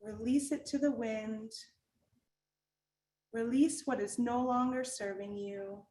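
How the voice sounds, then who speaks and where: A woman leading a guided meditation in a calm, gentle manner, giving unhurried instructions with long pauses. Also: fairly high pitch. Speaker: meditation guide and art teacher. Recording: roomy echo with music underneath.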